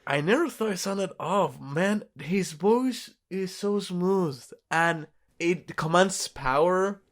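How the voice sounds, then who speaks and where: A man's voice: high voice, animated, takes it slowly. A man co-hosting a film review podcast in casual conversation, repeating phrases for emphasis and swearing offhandedly. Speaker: podcast co-host reviewing films. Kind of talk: casual chat.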